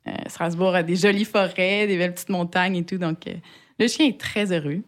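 A woman's voice: fairly low pitch, fairly even intonation, a little fast. A young woman with a Canadian French accent, chatting as a radio interview guest, hesitant with many fillers. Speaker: guest, basketball player's wife.

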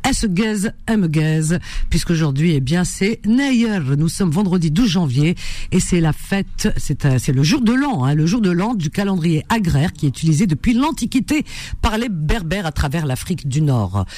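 A woman's voice: lowish voice, very animated, normal speed, dry recording. Chatty woman radio host, dry studio. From Algeria. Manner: warm chatty delivery with many fillers and repetitions. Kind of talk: radio classified-ads show, chatty.

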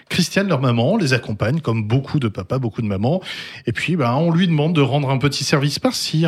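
A man hosting a club radio program, asking questions and narrating a scripted segment in a measured way. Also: medium pitch, slightly rough. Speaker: radio host.

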